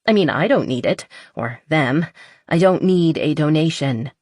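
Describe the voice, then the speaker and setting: A woman's voice: sits low, expressive, measured pace, a little mellow, no room sound, studio quality. A woman voicing a playful, chatty, impulsive young woman who is a little tipsy, in a romance audiobook. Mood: giddy, chatty.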